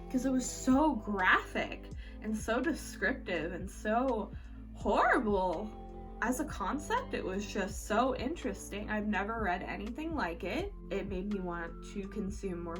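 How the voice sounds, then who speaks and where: A woman chatting casually about what she has read as a book vlogger, informal and candid with slang and some swearing. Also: a little high. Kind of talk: casual chatty monthly reading recap to camera. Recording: music playing behind the voice. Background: background music.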